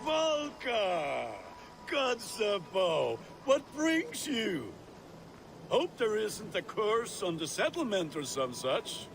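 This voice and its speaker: A lively, animated settler in a video game. His voice is high, gravelly, and wildly swooping, and he stretches out his words. Music sounds behind him. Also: slow pace, exaggerated voice. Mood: surprised. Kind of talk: video game cutscene dialogue.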